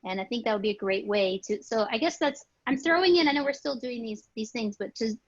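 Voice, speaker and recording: A woman hosting a casual webinar discussion, brainstorming aloud with excitement and reading out chat suggestions. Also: on the high side, fairly quick, dry recording, dull audio. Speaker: webinar host. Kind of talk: casual panel discussion on a video call.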